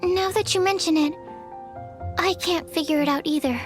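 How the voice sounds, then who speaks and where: A shy young alchemist speaks in a very high, soft voice. She is earnest and polite, and often hesitates or restarts mid-sentence. Faint game music sits behind her. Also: normal speed. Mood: timid. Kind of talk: video game dialogue.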